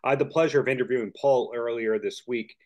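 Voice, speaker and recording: A man's voice: medium pitch, steady tone, quick pace. A man hosting a podcast interview over a video call, asking long, chatty, joking questions. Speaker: podcast host.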